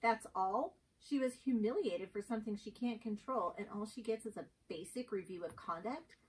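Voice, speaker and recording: A woman's voice: medium pitch, normal speed, lightly acted. A woman reading the part of an indignant, caring female schoolteacher in an amateur script reading, recorded at a distance. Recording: distant mic, faint room noise.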